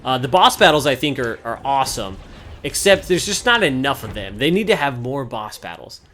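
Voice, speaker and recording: A man's voice: medium pitch, sing-song, fairly quick, a bit bright, slight background noise. A man giving casual, conversational gameplay commentary as the host of a video game series. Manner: informal, conversational. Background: game music.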